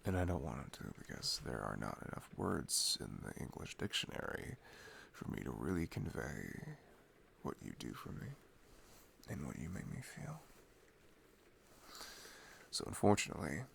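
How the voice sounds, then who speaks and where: A man playing an affectionate, reflective boyfriend in an intimate ASMR roleplay, speaking softly and tenderly with hesitant pauses. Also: very deep voice, huge pitch swings, gravelly, slight background noise. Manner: soft, hesitant, tender, pausing between phrases.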